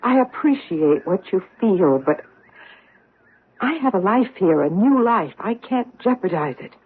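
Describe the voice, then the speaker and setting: An actress playing a guarded middle-aged wife hiding a painful past, anxious, evasive and pleading in a radio drama. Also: female voice, mid-range voice, lively intonation, a little slow, husky. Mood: guarded, firm. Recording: tinny, band-limited old radio recording.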